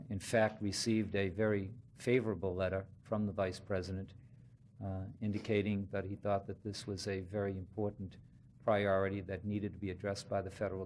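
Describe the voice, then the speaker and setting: Middle-aged mayor, low voice, deliberate, lots of uhs; faint hum. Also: male voice, darkish tone. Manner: deliberate, many uhs and repetitions. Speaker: mayor speaking for city officials. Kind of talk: press conference statement. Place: indoor press room.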